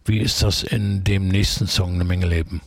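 A man's voice: low voice, fairly even intonation, moderate pace, creaky, no room sound. A man hosting a radio interview, asking leading questions with enthusiasm about the music. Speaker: radio host.

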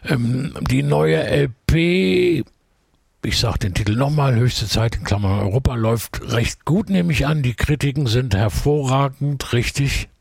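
A man's voice: medium pitch, expressive, a little slow, creaky, darkish tone, husky, no echo, clean studio sound. A man hosting a radio interview, asking leading questions with enthusiasm about the music. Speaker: radio host.

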